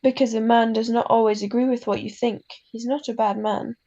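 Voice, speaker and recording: A woman playing an ailing, gentle, wise mother in a radio drama, speaking tenderly and haltingly. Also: fairly high pitch, steady tone, lo-fi sound, natural voice. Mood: gently reflective. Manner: tender, halting, philosophical, tags sentences with huh. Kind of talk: classic radio drama acting.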